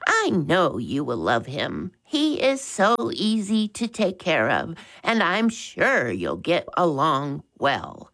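A woman puts on an old lady who can barely hear, so she squeaks everything loudly down a phone. Her voice jumps well above the reader's own low tone and swings with fussy, doting warmth. The pace drags along slowly. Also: wildly swooping pitch, exaggerated voice. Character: elderly hard-of-hearing woman, loud and chatty.

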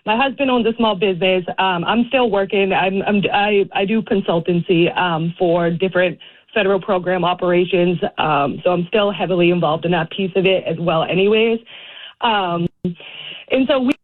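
A woman in her thirties with a Boston accent, a candidate talking earnestly on a radio call-in with lots of ums and restarts. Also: fairly low pitch. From Boston area. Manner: earnest, halting, with many fillers. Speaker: congressional candidate, radio guest. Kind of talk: casual radio interview. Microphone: phone call. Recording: tinny phone line.

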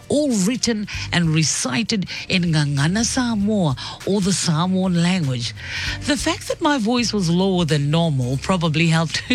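Morning radio host, mid-pitched, chatty, upbeat, faint music behind. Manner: upbeat and chatty, breaks off reading to comment. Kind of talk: Sunday morning radio show, reading a news story aloud with asides. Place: radio studio.

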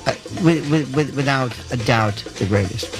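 A man's voice: medium pitch, moderate pace, music in the background. A man hosting a call-in radio talk show, theatrical and wordy with mock-formal turns of phrase. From Florida.

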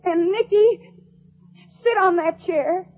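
A woman's voice: very high-pitched, slow pace, narrow, tinny audio. An actress playing a cheeky, perky, girlish young amateur player in an old-time radio comedy. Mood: eager. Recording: thin, band-limited old radio recording.

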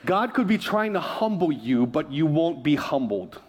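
Male preacher from Hawaii, slightly high voice, emphatic and repetitive sermon delivery. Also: sing-song, moderate pace, a little mellow, husky. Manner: emphatic repetition, calls for congregational response. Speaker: pastor.

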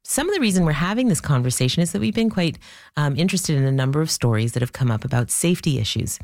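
A Canadian woman hosting a live radio phone-in, talking conversationally to listeners, her voice lowered by a cold. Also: animated, fast talker, a little mellow, no room sound, clean studio sound. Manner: conversational, addresses listeners directly. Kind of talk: hosting a live call-in discussion.

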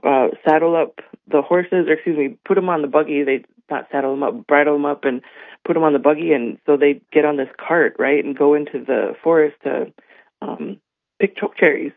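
A man from North Dakota, his voice a little hoarse, telling stories in a radio interview and often asking "right?". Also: fairly high pitch, steady tone, a little fast, dry recording. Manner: warm storytelling with many fillers and "right?". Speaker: guest. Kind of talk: radio interview, casual talk. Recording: phone-like radio line.

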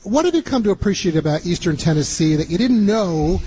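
A man's voice: high-pitched, animated, fairly quick, warm tone, slight background noise, rough recording. Upbeat male radio travel host. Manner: upbeat, promotional, many fillers. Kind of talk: radio interview and show wrap-up.

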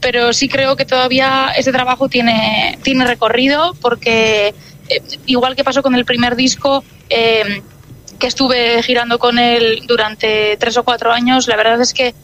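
A Basque-native woman, a jazz singer, answering interview questions in a relaxed, thoughtful way with many filler words. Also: fairly high pitch, unhurried, a little hiss or hum, rough recording.

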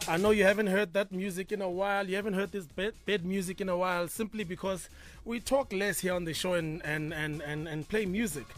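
A man hosts a radio show with a South African accent, his husky voice sitting high. He banters playfully and teases his guest. Faint music runs under the talk. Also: fairly even intonation, a little fast. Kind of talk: casual radio chat.

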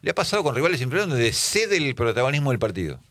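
A man's voice: medium pitch, sing-song, fast, fairly bright tone, dry recording, studio quality. A man hosting a casual football talk panel, asking his guests questions and thinking aloud. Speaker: host.

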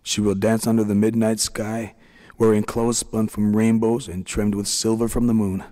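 A man voicing a kind, tiny middle-aged leader of the little people, speaking gently and soothingly. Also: fairly low pitch, flat delivery, moderate pace, in a large hall, close to an everyday voice. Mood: tender.

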